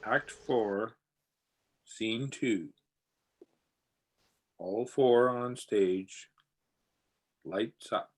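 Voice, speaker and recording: A man's voice: lowish voice, extremely slow, long pauses, darkish tone. A man reading stage directions as the detached narrator of a radio play. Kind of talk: scripted narration.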